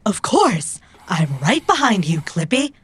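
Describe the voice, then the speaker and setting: A woman playing a proud, loyal robot companion in a cyberpunk audio drama, speaking in formal, precise, measured phrases with a husky voice. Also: medium pitch, big pitch swings. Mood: calm, dutiful.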